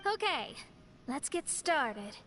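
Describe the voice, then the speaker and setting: A woman's voice: high voice, very animated, slow, a bit bright, faint music behind, exaggerated voice. A woman playing a confident, teasing young astrologist mage in an anime game. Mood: smug. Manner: self-assured, teasing.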